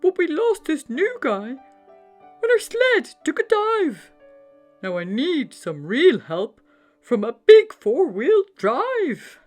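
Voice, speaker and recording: A woman's voice: high-pitched, huge pitch swings, a little mellow, soft background music, voice pushed up, full character voice. A woman playing a theatrical, upset old Santa. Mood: worried, pleading. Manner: theatrical, exclaiming, drawn-out words. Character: upset, worried old Santa, elderly man fretting over reindeer.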